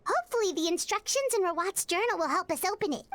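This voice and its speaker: A woman's voice: very high voice, expressive, fast talker, a bit bright, a little hiss or hum. A woman voicing a small, cheerful, caring nurse creature in a video game, girlish and sweet with a playful sing-song manner. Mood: reassuring. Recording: game music behind the voice.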